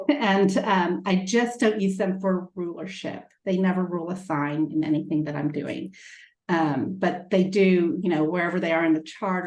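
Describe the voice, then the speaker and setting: A middle-aged woman from Texas with an American accent, chatting as a guest astrologer. Her talk is relaxed and full of laughs. The recording has a roomy echo. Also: lowish voice. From Texas, United States. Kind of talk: casual conversation over video. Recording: echoey room.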